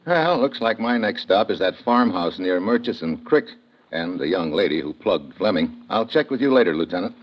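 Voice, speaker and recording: An actor playing a tough, laconic investigator in an old-time radio detective drama, speaking in a clipped, dry way. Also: male voice, sits low, expressive, moderate pace. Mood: terse narration. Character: tough, laconic male American investigator narrating his own case. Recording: muffled, lightly noisy classic radio recording.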